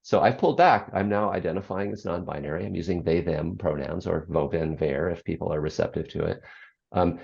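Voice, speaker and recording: A speaker in their sixties with a low bass voice, talking reflectively as an interview guest, pausing often with ums and restarting sentences. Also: creaky, darkish tone, slightly rough. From United States. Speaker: guest, author and former radio program director. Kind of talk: interview conversation. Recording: slightly dull lo-fi audio.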